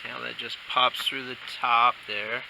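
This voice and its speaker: Male DIY installer with a creaky, gravelly voice, casual step-by-step delivery in short bursts, noisy homemade video sound. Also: medium pitch, little pitch movement, normal speed. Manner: talks in short instructional bursts while working. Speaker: installer demonstrating a how-to. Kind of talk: casual hands-on tutorial narration while working. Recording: clearly noisy amateur workshop video sound.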